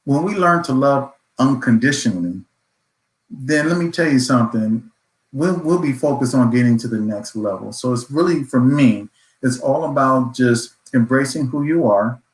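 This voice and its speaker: A man speaking as a panelist in a reflective discussion, earnest, affirming and motivational. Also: mid-range voice. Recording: roomy echo.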